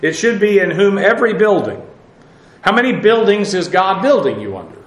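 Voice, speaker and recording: A man preaching a verse-by-verse sermon, teaching in a measured way, with frequent fillers and restarts. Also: high voice, very animated. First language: English. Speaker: pastor. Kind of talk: expository sermon. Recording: echoey room.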